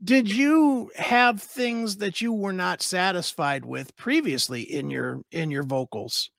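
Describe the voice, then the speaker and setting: A man's voice: high voice, creaky, a bit bright, dry recording. Dry-sounding man hosting an interview. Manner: brief backchannel replies, halting questions. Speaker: interview host. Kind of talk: casual interview.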